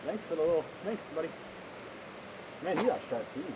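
Animated high-voiced man, noisy outdoor recording. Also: fairly quick. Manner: playful, talks to the fish. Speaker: angler. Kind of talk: casual chat while fishing. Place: outdoors by a lake. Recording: clear outdoor background noise.

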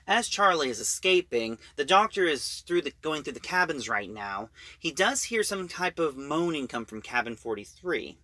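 Guy doing a casual review recap, bright crisp voice, super dry sound, kinda halting. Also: fairly high pitch, big pitch swings, dry recording. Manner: informal, halting retelling.

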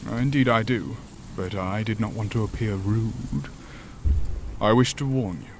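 An actor playing a grave, ancient demon from a higher dimension in a horror audio drama, speaking formally and deliberately. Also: male voice, noisy background, natural voice. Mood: formal, calm. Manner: formal, deliberate, solemn, eloquent.

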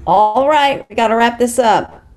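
A woman's voice: on the high side, a little slow, a little mellow, natural voice. A woman playing a blunt, protective fight trainer at a screenplay table read. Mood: brisk.

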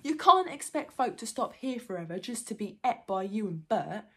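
A woman voicing a big, hungry, bad-tempered male troll with rough rustic slang, in a storybook reading. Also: mid-range voice, very animated, normal speed, pitched above the performer's own voice. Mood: quarrelsome, angry.

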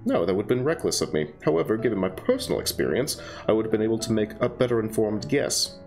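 A man voicing a poised, precise young woman student who explains things in a measured, clinical way. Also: fairly low pitch, big pitch swings, a little mellow, soft background music. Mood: composed.

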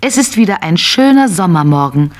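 A woman narrating a scripted children's tale in an expressive, simple storytelling manner. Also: fairly low pitch, normal speed.

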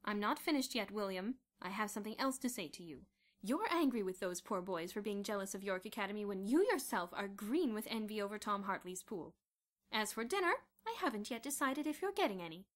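Audiobook voice of an indignant middle-aged wife, put on by a woman narrator, high and loud, scolding in sharp, clipped bursts. She talks in a mid-range voice pushed well up in pitch and volume. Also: lively intonation, brisk, close to an everyday voice. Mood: angry.

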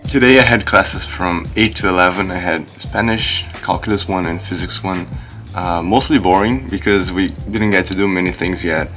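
Young dude, deep voice, chatty vlog talk with lots of uh's, music going behind. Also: male voice, moderate pace, hardly any pauses. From Brazil. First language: Portuguese. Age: young adult. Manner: upbeat, chatty, lots of uh fillers. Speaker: college student vlogger. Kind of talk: casual vlog narration. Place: dorm room, indoors. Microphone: camera at arm's length.

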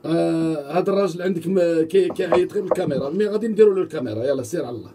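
A man's voice: fairly high pitch, rapid-fire. Moroccan host in his 40s, kinda far from the mic, noisy background. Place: small indoor studio. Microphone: desk studio mic. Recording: distant mic with clear noise.

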